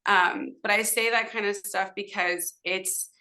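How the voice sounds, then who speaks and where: A woman's voice: mid-range voice, fairly even intonation, measured pace, sharp tone. A middle-aged American woman from Southern California, a native Hebrew speaker, chatting casually and at length as a podcast guest in an informal, rambling way. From Southern California, United States. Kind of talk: casual conversational interview.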